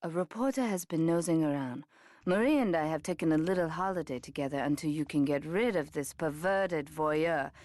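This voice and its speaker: A low female voice , performed by an actress, for a Yakuza boss in a video game. She is cool and commanding, issuing orders with clipped composure and sly menace. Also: moderate pace, a little mellow, no echo, close to an everyday voice. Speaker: crime boss giving missions. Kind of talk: video game cutscene acting.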